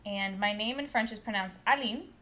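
A woman in her late twenties, originally from Brazil, co-hosting a casual podcast chat, outspoken and quick to laugh. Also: medium pitch, a bit bright, a little hiss or hum. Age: late 20s. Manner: chatty, outspoken, laughs a lot. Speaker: podcast co-host.

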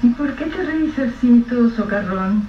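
A woman's voice: fairly high pitch, animated, moderate pace, a little hiss or hum, close to an everyday voice. A woman playing a worried, devout older mother pleading with her son in a radio drama. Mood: suspicious. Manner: pleading, fretful, blessing with prayer. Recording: roomy, dull, distant mic.

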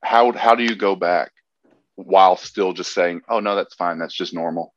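A man's voice: low voice, no echo, highs cut off. A man from the United States, a guest in a casual podcast chat, who talks earnestly and keeps restarting his sentences. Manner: halting, restarts often, earnest.

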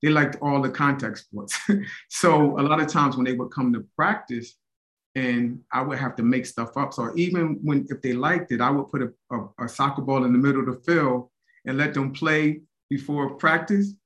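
A man's voice: mid-range voice, moderate pace, a bit bright. A man co-hosting a podcast, talking in a rambling, conversational way about coaching and laughing easily. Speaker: co-host, former high school track coach. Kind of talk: casual podcast chat.

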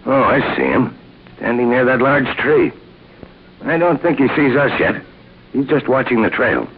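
An actor playing a steady, sensible middle-aged uncle leading young adventurers in an old radio serial, calm and measured. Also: male voice, mid-range voice, slightly rough. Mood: measured, observant. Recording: tinny, faintly noisy old radio recording.

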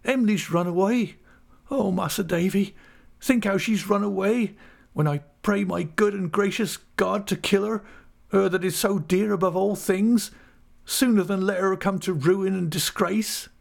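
A man's voice: high voice, a little slow, vocal fry, voice pushed up, full character voice. A man voicing a distraught young working-class fisherman in a storybook, pleading tearfully in rustic dialect. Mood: anguished. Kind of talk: dramatised literary audiobook.

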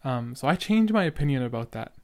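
A man's voice: mid-range voice, big pitch swings, a little fast, a little mellow. A young man who stutters, talking casually and earnestly as he reacts to his own old podcast episode. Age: young adult. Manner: earnest, rambling, filler-heavy.

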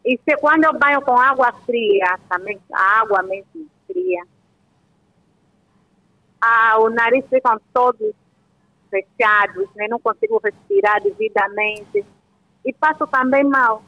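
A woman's voice: a little high, lively intonation, measured pace, pushed and bright, dry recording. A 28-year-old woman with an Angolan accent, calling a radio phone-in and casually explaining a personal problem to a doctor. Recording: dull lo-fi phone line.

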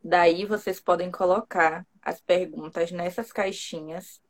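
A woman's voice: lowish voice, fairly even intonation, a little slow. A Brazilian woman with a Bahian accent, an interior designer hosting a live stream over a video call, speaking in a chatty, friendly way. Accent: Bahian Brazilian Portuguese. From Salvador, Bahia, Brazil. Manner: chatty, laughs easily.

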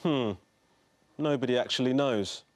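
A man voicing a mild, cautious father in a children's storybook, in a measured, parental way. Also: medium pitch, close to an everyday voice. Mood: pondering.

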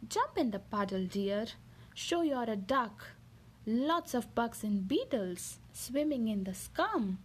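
A woman's voice: on the high side, animated, takes it slowly, husky, full character voice. A woman voicing a fussy, bossy mother duck in a storybook read-aloud, coaxing and emphatic. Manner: sing-song, coaxing, emphatic.

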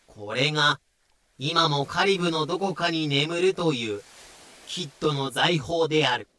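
A man's voice: a little high, a little slow, pushed and bright. A man giving scripted, comedic narration for a pirate audio drama.

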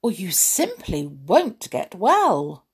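A woman voicing a diminutive male king who speaks soothingly and coaxingly to his wife, with a slightly breathy voice. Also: lowish voice, huge pitch swings, takes it slowly, exaggerated voice. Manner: sweet, coaxing.

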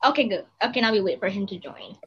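A woman hosting a casual podcast, bubbly and quick to laugh. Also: mid-range voice, animated, a bit bright, husky. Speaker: podcast host. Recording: slightly dull lo-fi sound.

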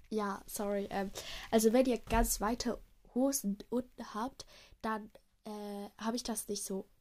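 Young woman with a cold, husky and rambling. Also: mid-range voice, warm tone. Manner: rambling, many fillers and self-corrections. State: has a cold. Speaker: podcaster. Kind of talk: casual chat. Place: outdoors in cold wind.

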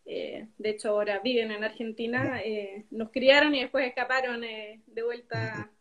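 Female interview guest, Chilean accent, relaxed informal talk, fairly high pitch, speaking into a tablet. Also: moderate pace, lo-fi sound. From southern Chile. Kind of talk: casual chat. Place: at home on a video call. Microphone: tablet on a video call.

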